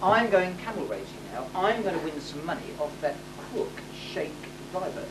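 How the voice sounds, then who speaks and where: A woman in an amateur stage pantomime playing a pompous middle-aged sheikh who is a princess's father, with theatrical, halting delivery. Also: lowish voice, animated, lightly acted. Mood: blustering. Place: hall stage, distant microphone. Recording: echoey hall from far off, noisy amateur tape.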